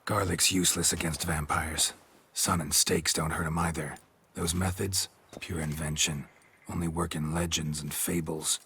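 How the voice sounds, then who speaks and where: Very deep, deadpan monster hunter. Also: male voice, normal speed, vocal fry, a little hiss or hum, full character voice. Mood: matter-of-fact. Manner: clipped, deadpan, drops sentence openings. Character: stoic gruff male monster hunter, weary and matter-of-fact. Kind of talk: video game voice acting.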